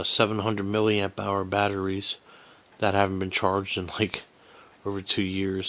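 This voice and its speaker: A man's voice: lowish voice, fairly bright tone. A man giving a casual, unscripted gadget review in a rambling, hesitant way, often searching for words. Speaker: amateur radio hobbyist reviewing gear. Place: small bedroom indoors.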